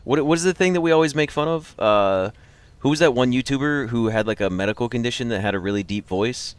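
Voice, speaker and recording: A man's voice: mid-range voice, fast, clean tone, no room sound. A man hosting a livestream, chatting casually with viewers in a rambling, joking, slangy way. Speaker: livestream host. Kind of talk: casual chat with live stream viewers.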